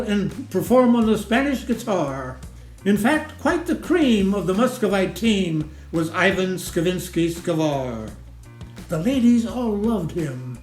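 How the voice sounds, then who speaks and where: A man giving a theatrical recitation of a comic rhyming ballad in a measured, sing-song rhythm. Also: sits high, a little mellow. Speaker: poetry reader. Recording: roomy echo, music underneath. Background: music.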